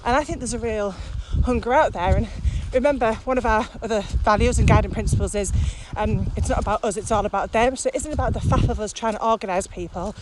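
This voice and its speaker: A woman's voice: a little high, fast, few pauses. Blunt laughing woman on a windy walk. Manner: laughs often, blunt, swears freely. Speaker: podcast co-host, virtual training company. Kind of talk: casual chat while walking outdoors. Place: outdoors, windy. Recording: noisy outdoor wind.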